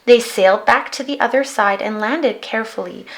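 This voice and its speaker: High-voiced woman reading a storybook. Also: normal speed. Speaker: storybook reader. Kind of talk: expressive children's read-aloud narration.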